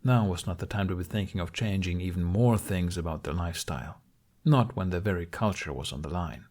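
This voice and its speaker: A man's voice: low-pitched, fairly quick, a little mellow. A man reading scripted story narration as an audiobook narrator.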